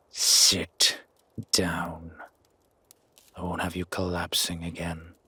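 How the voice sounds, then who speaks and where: A man playing a gruff, wary but grudgingly kind werewolf in a roleplay audio drama, with a raspy, growling, half-whispered voice and terse, brooding delivery. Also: sits low, sharp tone, no room sound, heavily acted. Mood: stern. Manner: slow, brooding, terse commands.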